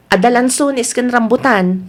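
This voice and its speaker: A woman plays a patient, friendly market seller from a radio serial. Her voice sits fairly high, and she speaks with an Ilocano Filipino accent in a dramatized, neighbourly way. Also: unhurried, lightly acted. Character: friendly village market vendor woman, patient cousin and daughter.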